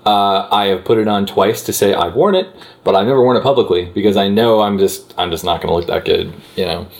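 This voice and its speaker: A man with a notably deep voice talks casually with a friend. He's chatty, piling on fillers and restarts, and perks up into animated asides. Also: fairly quick, creaky. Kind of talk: casual chat.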